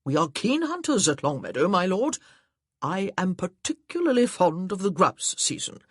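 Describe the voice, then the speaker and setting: A narrator voicing a hearty, rambling, nearsighted older gentleman in a period audiobook. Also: very animated, moderate pace, no room sound, studio quality, exaggerated voice. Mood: jovial. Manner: jovial, booming, rambling. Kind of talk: audiobook character voice.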